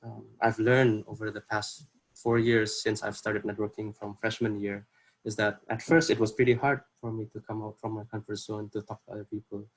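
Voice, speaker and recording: A man's voice: fairly low pitch, little pitch movement, moderate pace, rough recording. A young man from Indonesia with an Indonesian accent, giving an informal workshop talk over a video call in earnest, hesitant phrasing. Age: young adult. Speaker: workshop presenter.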